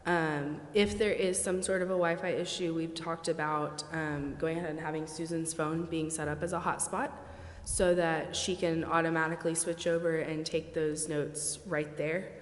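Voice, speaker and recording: A woman's voice: sits low, fairly even intonation, normal speed. A woman on city staff explaining things informally at a council meeting. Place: council chamber. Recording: echoey council chamber with noise.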